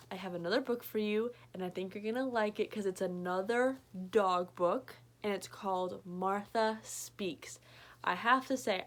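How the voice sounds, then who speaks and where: A woman reading a picture book aloud to a child, with playful, chatty asides. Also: mid-range voice. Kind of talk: read-aloud storytelling for a child. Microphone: recorded to a screen for remote viewing.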